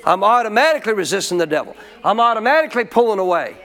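A man's voice: high voice, sing-song, rapid-fire, sharp tone. A man preaching a sermon as pastor, teaching in a colloquial call-and-response manner.

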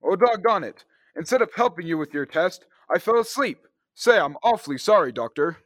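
A man's voice: high-pitched, lively intonation, brisk, fairly bright tone. A young man playing an eager, adventurous space hero in old-time radio serial style, earnest and full of gee-whiz enthusiasm. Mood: excited, eager. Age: young adult. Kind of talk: 1930s radio serial acting.

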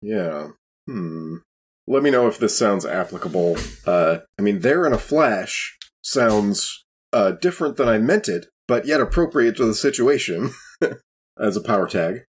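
A man's voice: on the low side, animated, measured pace, slightly rough. A man playing a tabletop game, chatting casually and thinking aloud in a tentative way.

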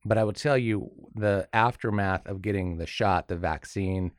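Male co-host, low register, slightly hoarse, conversational, recorded dry in a studio. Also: normal speed, no echo. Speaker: podcast co-host. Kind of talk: casual chat.

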